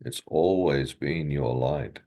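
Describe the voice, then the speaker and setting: Man, very low voice, slow pace, gentle guided meditation delivery, short phrases with long pauses.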